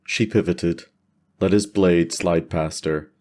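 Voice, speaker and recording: A man's voice: low voice, fairly even intonation, takes it slowly, darkish tone. A man delivering scripted, cinematic narration for a fantasy audio drama.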